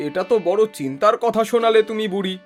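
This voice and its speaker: A performer voicing a helpful, concerned village man in a children's cartoon, earnest and expressive in a familiar way. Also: mid-range voice, quick pace, faint music behind, close to an everyday voice. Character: helpful, concerned village neighbour named Ratan, male. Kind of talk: Bengali children's cartoon dialogue.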